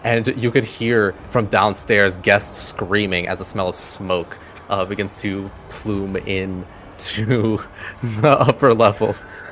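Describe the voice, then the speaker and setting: A man's voice: fairly low pitch, steady tone, slow pace, fairly bright tone, slight background noise. A man narrating a tabletop game as game master, improvising in casual, conversational phrasing.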